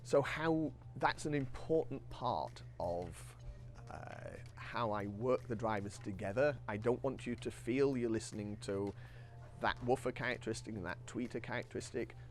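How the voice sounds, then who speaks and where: A man's voice: medium pitch, moderate pace. A man speaking as an engineer, giving an informal technical explanation and posing questions that he then answers himself. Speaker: loudspeaker engineer and designer.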